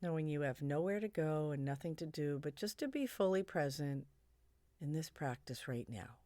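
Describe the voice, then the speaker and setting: A woman leading a guided meditation in a soothing, gently suggestive way, her phrases broken by long pauses. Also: low-pitched, animated, no echo.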